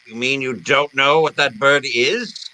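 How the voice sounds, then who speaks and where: An actor playing a jovial, wordy, calculating fat crime boss in florid old-time radio style. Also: male voice, medium pitch, pushed and bright, slight background noise, rough recording. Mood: amused, probing. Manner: florid, theatrical, deliberate phrasing.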